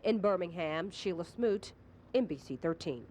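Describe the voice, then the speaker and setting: A woman's voice: fairly low pitch, lively intonation, normal speed, darkish tone. A woman narrating a scripted television news package as a reporter. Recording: faint background noise.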